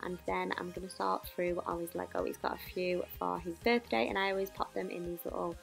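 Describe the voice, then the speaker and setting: A woman's voice: fairly low pitch, steady tone, brisk, vocal fry. A young adult woman with a British accent gives a casual, chatty voice-over about household chores. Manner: chatty, informal. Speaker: home cleaning vlogger. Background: background music.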